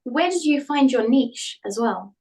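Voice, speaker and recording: A woman hosting an interview, asking polite, measured questions. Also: sits high, lively intonation, darkish tone. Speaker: host and author. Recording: voice a bit far from mic.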